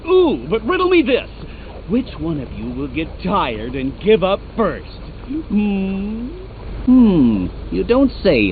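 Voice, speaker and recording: Game trickster villain, a boastful riddle fanatic: highish, lively sneer, muffled capture with faint noise. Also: male voice. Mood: mocking. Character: cocky, mocking male riddle-loving supervillain trickster. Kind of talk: video game villain voice acting.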